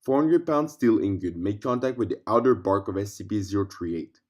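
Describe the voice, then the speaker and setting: A man's voice: on the low side, expressive, darkish tone. Man narrating a formal case file. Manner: formal, measured read-aloud delivery.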